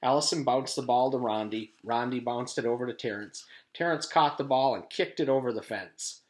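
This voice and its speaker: A man reading a children's chapter book aloud as a teacher does for students, in an animated storytelling style. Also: mid-range voice, moderate pace.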